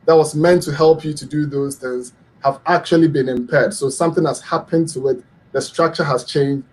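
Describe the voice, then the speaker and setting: A middle-aged man from Ghana speaks as a guest expert on a radio health talk. His voice sits a little high for a man, and he explains things earnestly, with many ums and restarts. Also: fairly even intonation, moderate pace.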